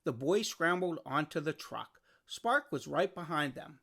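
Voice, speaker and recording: Creaky-voiced reader of a children's storybook. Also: mid-range voice, animated, measured pace, sharp tone. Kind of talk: scripted children's story narration, read aloud.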